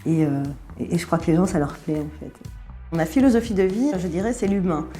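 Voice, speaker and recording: A woman's voice: lowish voice, lively intonation, fairly quick, mellow, faint music behind. A middle-aged woman chef from France with a Belgian French accent, answering interview questions in an easygoing way with many hesitations.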